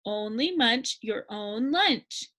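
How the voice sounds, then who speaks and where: A woman voicing a boisterous, eager young boy shark in a storybook, excitable and emphatic. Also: on the high side, takes it slowly. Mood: earnest rhyming. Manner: excitable, emphatic, sing-song rhyming. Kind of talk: storybook character voice.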